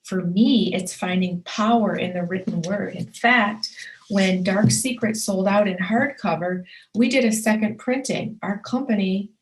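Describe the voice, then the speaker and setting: A woman's voice: medium pitch, a little slow, poor audio. A woman hosting her own show and giving a motivational talk. She speaks earnestly and emphatically, stacking short repeated affirmations and addressing the listener directly.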